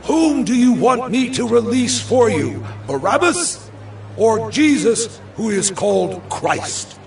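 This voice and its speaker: An actor playing an exasperated, commanding Roman governor, declaiming to a crowd in a scripture drama. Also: male voice, very high-pitched, very animated, slow, creaky, recorded from a distance, exaggerated voice. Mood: commanding, formal. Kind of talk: dramatized scripture audio acting.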